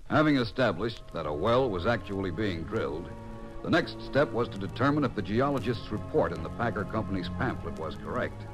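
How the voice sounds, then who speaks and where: A man with an American accent narrating a vintage radio crime drama as a formal, measured official report. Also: fairly low pitch, animated, natural voice, rough recording. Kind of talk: 1950s radio documentary-style scripted narration. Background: music.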